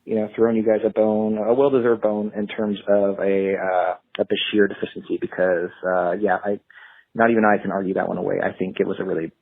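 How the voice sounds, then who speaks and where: A man's voice: lowish voice, little pitch movement, fairly quick, gravelly, no room sound. A man leaving a casual, rambling voicemail over a tinny phone line, hesitating as he thinks aloud.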